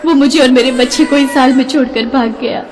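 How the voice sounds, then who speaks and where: Plaintive wronged young mother for animated melodrama, played by a woman, high-pitched, reverberant, over music. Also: fairly quick, close to an everyday voice. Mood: regretful. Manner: plaintive, emotional. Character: tearful betrayed blind young mother, pleading, devoted sister. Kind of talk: melodramatic Hindi animated story acting.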